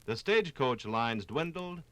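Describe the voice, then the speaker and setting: A man narrating a scripted 1950s radio documentary with dramatic, storytelling phrasing and deliberate pauses. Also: mid-range voice, animated, fairly bright tone, slight background noise.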